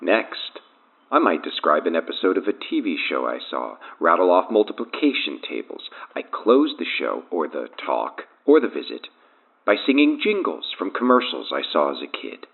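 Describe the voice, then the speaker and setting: An actor playing a powerful, coolly arrogant cult leader in an audio drama, with measured, taunting delivery and a deep, gravelly voice on a phone line. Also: male voice, wildly swooping pitch, exaggerated voice. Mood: smug, musing. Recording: thin narrow phone line.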